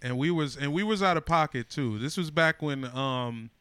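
A comedian in his thirties telling animated, slangy stories in a casual chat. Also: a little high, normal speed, clean tone. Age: 30s.

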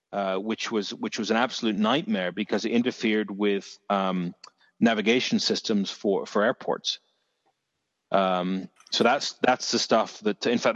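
A man's voice: fairly low pitch, dry recording, long reverb tail, lo-fi sound. A man with a Dorset accent telling stories in a casual chat, rambling with many restarts and the odd swear word. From England.

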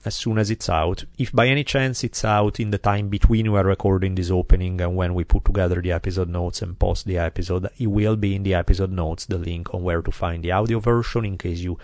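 A man's voice: low voice, steady tone, brisk, few pauses, darkish tone, dry recording. A man with an Italian accent hosting a podcast, talking in a loose, chatty way with many fillers. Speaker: podcast host. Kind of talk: casual podcast chat. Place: quiet recording studio.

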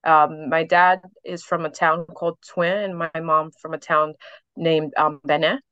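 A woman's voice: low-pitched, little pitch movement, a little slow, fairly bright tone, clean tone, no room sound, muffled recording. A New York-born woman whose first language is Haitian Creole, chatting and reflecting with frequent laughs as a guest on a podcast interview. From New York City. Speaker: author and guest.

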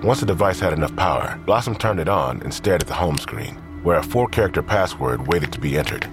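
A man's voice: deep voice, animated, brisk. A man narrating an audio drama crime mystery from a script. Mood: measured. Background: music.

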